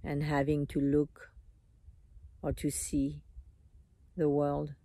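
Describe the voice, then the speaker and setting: A meditation teacher leading a live guided meditation in an unhurried, soothing manner, in short phrases with long pauses. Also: medium pitch, completely flat delivery, dark tone, a little hiss or hum.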